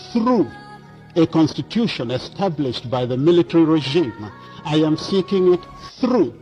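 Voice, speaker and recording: Measured formal male speaker, faint music. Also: fairly high pitch, darkish tone. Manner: measured, deliberate, stressing single words. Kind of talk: formal political speech.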